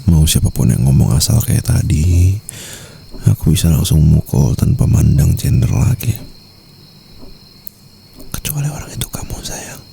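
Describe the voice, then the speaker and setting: A man with an Indonesian accent playing a possessive, tender mafia boss lover in an intimate ASMR roleplay, murmuring in a soothing, teasing, gravelly voice. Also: sing-song, measured pace, long pauses, warm tone, heavily acted. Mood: firm, protective. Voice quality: deep, gravelly. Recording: faint background noise, night crickets.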